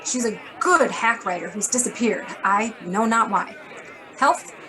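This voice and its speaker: A sardonic woman editor in a noir radio play. The voice is fairly high, clipped and blunt, with some crowd murmur behind it. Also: lively intonation, a little slow, rough recording. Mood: brisk, worried. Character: brisk, sardonic, worried female magazine editor. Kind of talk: hard-boiled radio drama acting.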